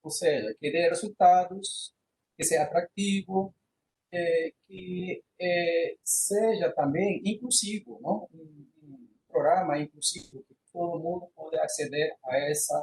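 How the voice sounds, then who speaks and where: A man's voice: a little high, slow, a little mellow, slight background noise, poor audio. A man, giving an online university lecture with many fillers and restarts, slipping into Portuguese words. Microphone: computer microphone on a video call.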